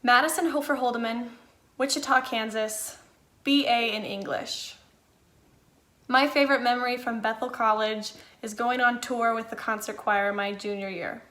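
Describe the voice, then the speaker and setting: Young woman, high voice, quick and clipped. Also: steady tone, a bit bright. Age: young adult. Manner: brisk, clipped. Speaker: graduating English student. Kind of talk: recorded self-introduction.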